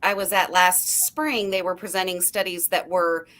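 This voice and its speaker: A woman's voice: fairly low pitch, fairly even intonation, normal speed, a bit bright. A woman guest on a literacy panel, explaining research in an earnest way and often restarting her sentences. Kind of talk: panel discussion.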